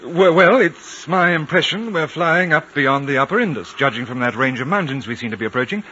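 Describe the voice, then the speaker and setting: Bright-voiced, composed British consul. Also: male voice, a little high, animated, brisk. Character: composed, courteous British consul, adventurer recalling his journey. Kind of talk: 1950s radio drama acting and narration. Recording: noisy, muffled old radio broadcast.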